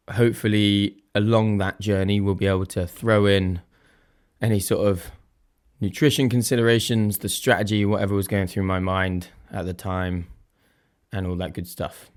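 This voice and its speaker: Croaky-voiced man hosting a chatty podcast. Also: on the low side, fairly even intonation, moderate pace. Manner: chatty and self-deprecating, thinks aloud. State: slightly croaky voice. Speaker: podcast host. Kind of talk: casual solo podcast chat.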